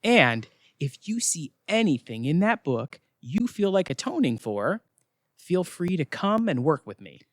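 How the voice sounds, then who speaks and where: A male actor plays a wisecracking, easygoing male gatekeeper of the afterlife in an audio comedy. His chatty, flippant delivery jumps around in pitch. The sound is dry, with no room around it. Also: sing-song, unhurried, no echo. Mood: casual, dry. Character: breezy, wisecracking male afterlife check-in attendant. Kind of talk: audio theatre comedy acting.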